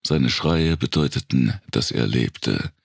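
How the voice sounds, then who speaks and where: Super deep gravelly guy reading a dark thriller audiobook, dry close sound. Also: slow, no room sound. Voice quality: gravelly, husky. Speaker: audiobook narrator.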